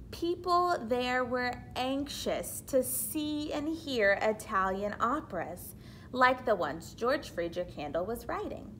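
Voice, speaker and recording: A woman reading a picture book aloud like a teacher, deliberate and expressive, pausing for explanatory asides to children. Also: fairly high pitch, a little hiss or hum, low-quality recording.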